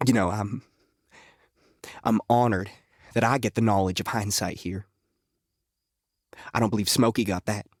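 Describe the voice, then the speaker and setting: A man's voice: lowish voice, fairly even intonation, measured pace, long pauses, no echo, clean studio sound. An actor playing a wisecracking, jittery, volatile male locksmith outlaw in a western audio drama, with a sardonic, rambling, folksy way of talking. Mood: bitterly menacing.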